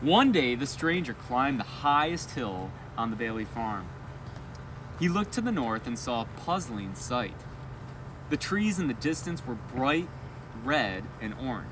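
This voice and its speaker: Animated man reading a bedtime story outdoors. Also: mid-range voice. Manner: expressive, pausing to ask and comment to listeners. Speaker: elementary school educator reading a bedtime story. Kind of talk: read-aloud storybook narration with asides. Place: outdoors on a school roof at night. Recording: outdoor live feed with traffic noise.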